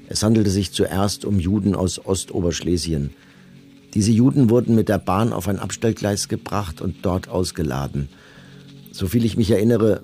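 A man playing a Nazi camp commandant in a documentary radio drama, giving testimony in a measured, matter-of-fact way. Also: low-pitched, dark tone. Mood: detached, factual. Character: male Nazi camp commandant coldly recounting his service.

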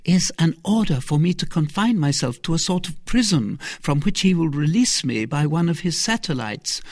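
A man voicing a scheming noblewoman who sighs and feigns innocence in a high, husky voice. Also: big pitch swings, normal speed, darkish tone, no echo, studio quality. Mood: sly plaintive. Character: scheming noblewoman, sighing and sly. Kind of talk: audiobook character reading.

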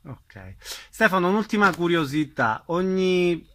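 A man's voice: sits high, big pitch swings, a little slow, bright, forceful tone, a little hiss or hum. A man hosting a radio interview, putting questions to a guest.

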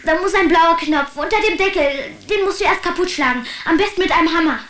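A woman's voice: very high-pitched, a little fast, crowd noise. A woman playing an anxious, devoted young schoolboy in a radio drama, earnest in manner. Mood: urgent, pleading. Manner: urgent, earnest. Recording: echoey room, noisy track with clicks.

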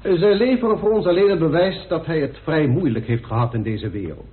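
Tinny, animated police inspector, acted by a man. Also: fairly high pitch, brisk, natural voice. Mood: factual. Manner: respectful, factual, clipped reporting. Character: deferential, matter-of-fact police inspector giving evidence. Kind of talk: radio drama acting. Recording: tinny band-limited old radio recording.

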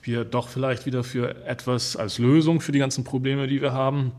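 A man's voice: medium pitch, level tone, brisk, a little mellow. A man, interviewing casually with hesitant, meandering questions. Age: mid 30s.

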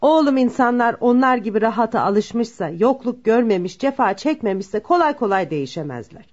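A woman's voice: fairly high pitch, expressive, a little fast, darkish tone. A woman playing a disapproving, moralizing mother in a radio drama. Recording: dull lo-fi radio recording.

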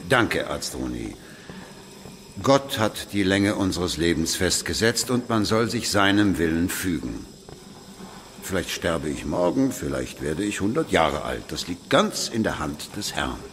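An actor playing a commanding, pious, aging medieval emperor in a German radio drama, imperious and deliberate, with a husky voice. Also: male voice, low-pitched, very animated, fairly bright tone, a little hiss or hum, heavily acted. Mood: wary, pious. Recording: long reverberant stone-hall echo.

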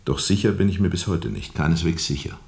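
An actor playing a brooding steamboat captain in a radio play, telling his story in a reflective, deliberate, formal and disillusioned way. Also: low-pitched, animated, creaky, darkish tone. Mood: uncertain, evasive. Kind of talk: radio play narration, literary monologue.

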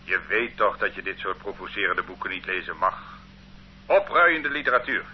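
A man's voice: low voice, animated, moderate pace, a little hiss or hum. An actor playing a stern male state police interrogator in a radio play, cold and accusing. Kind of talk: radio drama acting. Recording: dull lo-fi old radio recording.

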